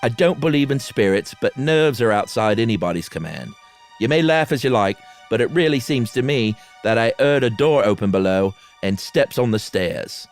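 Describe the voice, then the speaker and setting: A man's voice: medium pitch, lively intonation, normal speed, soft background music, natural voice. A man playing a nervous, defensive man spooked in a haunted house, read earnestly and uneasily. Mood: uneasy.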